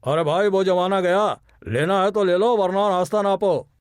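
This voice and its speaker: Blunt high-voiced village trader played by a man. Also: normal speed, clean tone, no room sound, clean studio sound, lightly acted. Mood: dismissive. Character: blunt male village trader, a familiar local. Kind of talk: Hindi animated moral story dialogue.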